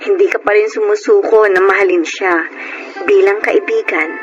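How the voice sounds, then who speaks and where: A woman playing a gentle, grateful young mother in a Filipino radio drama, speaking earnestly with a Filipino accent. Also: medium pitch, steady tone, normal speed, dull audio, lightly acted. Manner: earnest, heartfelt. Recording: music bed under the voice.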